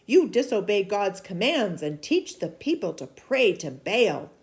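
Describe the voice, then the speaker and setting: A woman voicing a bold, commanding male prophet in a children's storybook, with loud, emphatic delivery. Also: mid-range voice, big pitch swings, measured pace, voice pushed up.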